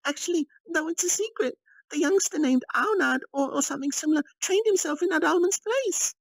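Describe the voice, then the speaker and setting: A man voicing an excitable, coy little female fairy in a storybook reading. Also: very high voice, a little fast, gravelly, no echo, voice pushed up, exaggerated voice, low-quality recording. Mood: coy, gossipy.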